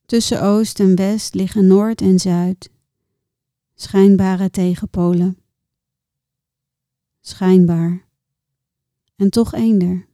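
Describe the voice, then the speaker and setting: A woman reciting her own poems in a measured, contemplative way, with deliberate pauses between short phrases. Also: mid-range voice, fairly even intonation, warm tone, no echo, studio quality. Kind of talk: poetry recitation.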